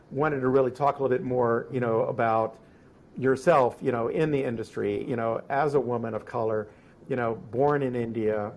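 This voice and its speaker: A man hosting a conversational podcast interview, phrasing things in a halting, roundabout way. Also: medium pitch, a little mellow.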